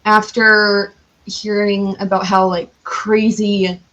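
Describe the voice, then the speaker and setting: A woman's voice: medium pitch, flat delivery, slow, a bit bright, poor audio. Enthusiastic woman in casual chat. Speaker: podcast guest, cosplayer.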